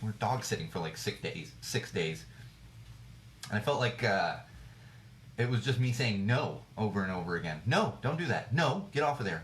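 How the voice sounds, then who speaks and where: A man with a lowish, slightly hoarse voice rants bluntly about the news, hammering his points with repetition. He sounds like he is in an echoey room, with a faint hum underneath. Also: a bit bright. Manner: indignant, blunt, repeats phrases for emphasis. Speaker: podcast host. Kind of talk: opinionated news commentary rant.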